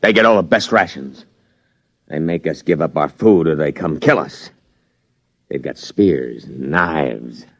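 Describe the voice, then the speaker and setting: An actor playing a half-crazed, vengeful camp inmate in a 1950s radio drama, halting and muttering. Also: male voice, very deep voice, expressive, vocal fry, dry recording, studio quality, heavily acted. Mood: gleeful, deranged. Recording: dull old radio recording, loud music underneath.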